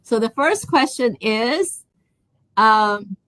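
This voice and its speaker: A woman's voice: fairly high pitch, animated, drawn-out words, a bit bright, no echo. A woman from Taiwan hosting a live online interview. She talks in a chatty way, with many fillers and restarts. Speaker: host.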